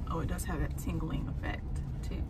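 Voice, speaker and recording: A woman's voice: low-pitched, fairly even intonation, normal speed. An American woman in her thirties chatting casually to camera as a vlogger, recorded in a car at arm's length. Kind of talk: casual vlog chat to camera. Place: inside a parked car. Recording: clear background noise, car ambience.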